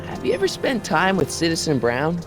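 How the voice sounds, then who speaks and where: A man's voice: fairly high pitch, wildly swooping pitch, brisk, music in the background. An actor playing an earnest, puzzled American teenage boy in a video game, speaking casually and hesitantly. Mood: earnest, probing. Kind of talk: animated video game dialogue acting.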